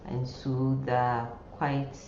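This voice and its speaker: A woman giving an informal online nursing lecture in a halting way, often repeating words and phrases. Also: rumbling low, flat delivery. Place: indoor room, online class. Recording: muffled, roomy sound with faint noise.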